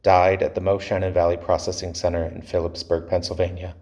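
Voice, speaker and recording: Low male voice reading out a formal memorial script. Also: flat delivery, brisk, darkish tone. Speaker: reader in an online remembrance video.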